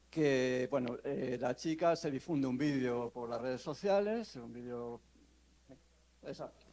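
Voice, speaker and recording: A man presents a law lecture at a conference panel, his tone mellow and dark. He hesitates often, breaking off and restarting phrases as he thinks aloud. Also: medium pitch. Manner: hesitant, many fillers and restarts. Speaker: law professor giving a panel talk. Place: conference hall.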